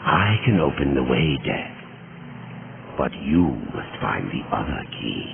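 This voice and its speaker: A man's voice: a bass voice, big pitch swings, measured pace, creaky, full character voice. An actor voicing an ancient male guardian spirit who speaks in a grave, portentous way. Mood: ominous. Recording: lo-fi tinny audio with loud noise.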